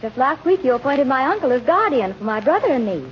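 Girlish eager young lady, old radio comedy, high lively voice, muffled. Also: fast talker. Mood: polite, coaxing. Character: polite, eager, girlish young woman niece. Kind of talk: 1940s radio comedy acting. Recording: dull, faintly noisy old radio recording.

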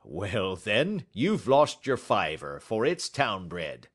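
A man voicing a belligerent, gruff poultry salesman who talks loud and combative in an audiobook story. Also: fairly low pitch, very animated, slow, exaggerated voice.

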